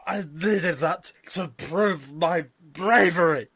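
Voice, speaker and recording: A man's voice: sits high, slow pace, a bit bright, slightly rough, exaggerated voice. A man playing a haughty, blustering drunken nobleman in a fantasy audio drama. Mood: outraged, panicked. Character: pompous drunken male noble, whiny and cowardly.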